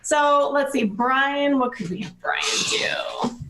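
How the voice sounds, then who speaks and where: A woman's voice: high voice, slow pace, crowd noise, rough recording. A woman performer chatting casually with a group. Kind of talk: casual chat.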